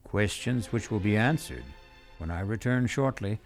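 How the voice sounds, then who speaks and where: An actor playing a brooding radio mystery host, narrating in a deliberate, theatrical, ominous manner. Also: male voice, on the low side, expressive, over music, lightly acted. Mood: grave, intriguing.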